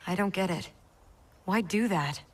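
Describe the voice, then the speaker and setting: A woman's voice: mid-range voice, unhurried, recorded from a distance, lightly acted. An actress playing a brash young female soldier in a video game, with blunt, casual modern speech. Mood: confused. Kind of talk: dramatic video game cutscene acting.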